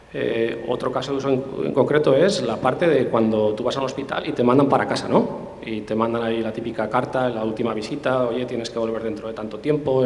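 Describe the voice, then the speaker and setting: A man's voice: mid-range voice, darkish tone, a little hiss or hum. A man from explaining things as an interview guest, talking thoughtfully with frequent hesitations and restarts. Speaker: interview guest, tech specialist. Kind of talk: conversational explanation. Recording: roomy hall with long reverb.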